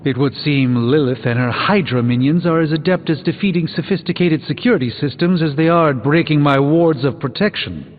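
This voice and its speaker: A man's voice: on the high side, fairly quick, close to an everyday voice. A man voicing a solemn, erudite sorcerer superhero in a video game, with formal, theatrical diction. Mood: grave, worried. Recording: lo-fi game audio under loud music.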